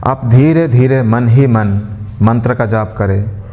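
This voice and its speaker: A man guiding a meditation as a yoga teacher, giving gentle instructions in unhurried phrases with pauses. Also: medium pitch, a little hiss or hum. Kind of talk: guided meditation with mantra chanting. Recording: long hall-like reverb.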